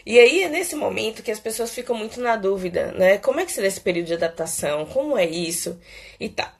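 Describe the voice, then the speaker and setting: A woman from Salvador, a psychologist hosting a casual live Q&A, hoarse and stuffy from a cold, chatty and peppering her talk with "né". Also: medium pitch, lively intonation. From Salvador, Bahia, Brazil. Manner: chatty, fills pauses with "né" and "é". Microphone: phone.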